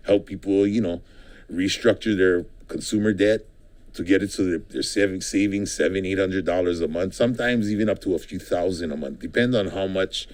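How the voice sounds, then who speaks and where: A man's voice: low voice, a little mellow. A middle-aged man from Hawaii, a political candidate, talking casually in a studio interview and rambling with frequent ums and restarts.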